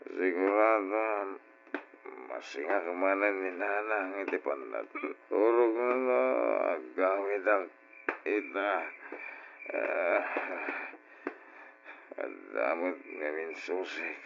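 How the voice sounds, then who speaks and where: A man playing a brooding, hard-drinking widower, gruff but kindly, with an Ilocano accent in an old radio drama. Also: sits low, exaggerated voice. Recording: dull old radio drama, faint noise.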